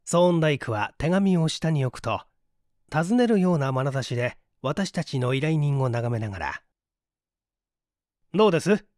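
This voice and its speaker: Man, scripted mystery narration, story reader, animated pitch, close dry sound. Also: normal speed, dry recording.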